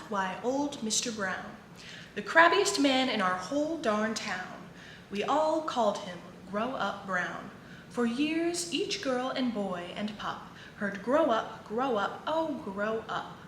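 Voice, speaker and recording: A woman reading children's picture books and poems aloud in an expressive, sing-song way. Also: on the high side, a little slow. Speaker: children's book reader. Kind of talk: read-aloud storytelling for children. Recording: echoey room with clear background noise.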